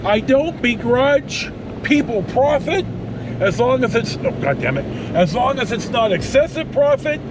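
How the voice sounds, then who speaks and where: Middle-aged American male vlogger, high register, blunt halting rambler, light cabin noise behind. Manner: halting, blunt, mildly profane. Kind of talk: casual rambling storytelling. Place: inside a pickup truck on the road. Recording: steady vehicle cabin noise.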